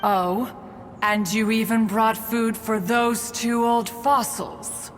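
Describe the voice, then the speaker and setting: A woman's voice: on the high side, steady tone, takes it slowly. A woman voicing an aloof elder female crane spirit in a game, speaking in a pompous, archaic way. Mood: haughty. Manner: pompous, archaic, calls herself "one". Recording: reverberant, with game music.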